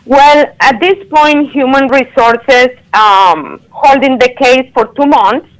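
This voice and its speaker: A woman, a caller telling her story on a radio show in earnest, halting English over a phone line. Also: high voice.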